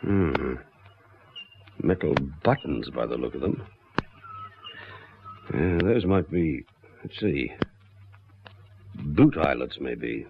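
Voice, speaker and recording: An actor playing a shrewd, deliberate police inspector in a radio crime drama, musing with pauses between his phrases. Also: a bass voice, big pitch swings, vocal fry, full character voice. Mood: pondering, grave. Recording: muffled, noisy old radio drama recording.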